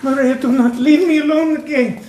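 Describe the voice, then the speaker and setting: A man's voice: extremely high pitch, fairly even intonation, normal speed, darkish tone. A man acting an anguished, devout prisoner in a stage play, reciting solemnly and with poetic longing. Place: indoor theatre stage, recorded from a distance. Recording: echoey stage, distant mic.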